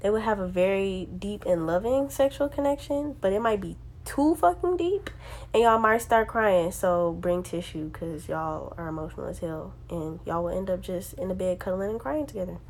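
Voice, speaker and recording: A woman's voice: mid-range voice, lively intonation, normal speed, a little mellow. Congested young woman, casual and slangy. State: congested from a sinus infection. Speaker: astrology video host. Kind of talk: casual talk to camera.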